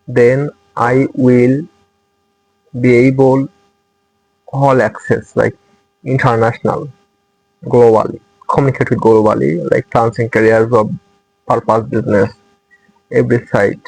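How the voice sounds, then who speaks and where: Young bangladeshi guy talking to his channel about learning english, husky gravelly voice, earnest and repeats himself a lot. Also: medium pitch, a little mellow, rough recording. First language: Bengali. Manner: earnest, repetitive phrasing in non-native English. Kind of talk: motivational talk to camera.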